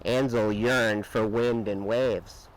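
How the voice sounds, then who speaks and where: A man reading a children's picture book aloud with expressive, dramatic emphasis and drawn-out words. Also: fairly low pitch, slight background noise, poor audio. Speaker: storybook reader.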